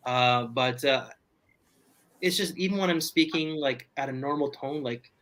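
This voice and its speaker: A man talking loudly from his apartment as a guest on a casual video call, rambling with many restarts. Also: mid-range voice, a little slow, low-quality recording. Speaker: podcast guest. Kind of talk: casual livestream chat. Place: home apartment.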